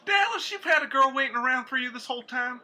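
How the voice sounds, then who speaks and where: Actor as brash young ex-soldier for radio comedy, shifty and punchy, very piercing bright tone, high for a man. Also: expressive, fast talker, full character voice. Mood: surprised. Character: brash, shifty young male war veteran. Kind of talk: old-time radio sketch comedy acting.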